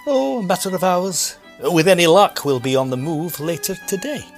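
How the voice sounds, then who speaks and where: A cheerful Victorian train conductor played by a man in an audio farce, folksy and soothing. His voice sits high and moves up and down in a lively way, over faint music. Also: normal speed. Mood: reassuring. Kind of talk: audio drama farce acting.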